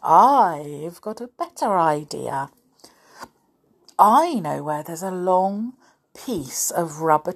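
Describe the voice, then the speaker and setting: Scheming storybook clown toy, read by a woman: calm, conspiratorial, hushed, unhurried pace. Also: fairly low pitch, vocal fry, husky, heavily acted. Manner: hushed, deliberate conspiratorial delivery. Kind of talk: children's storybook reading.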